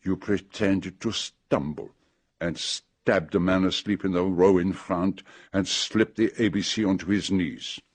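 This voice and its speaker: Shrewd older Belgian sleuth: French-speaking accent, low, dry studio sound, fussy and theatrical. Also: male voice, fairly even intonation, slightly rough, no echo. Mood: methodical, explaining. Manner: precise, deliberate, theatrical. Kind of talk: radio drama acting.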